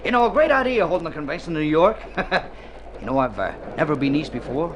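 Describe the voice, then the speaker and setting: A noisy vintage radio drama recording carries a hearty American small-town druggist. His voice is high and very animated. He is boisterous and folksy, a chummy backslapper out for a good time. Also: fast. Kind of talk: golden age radio drama acting.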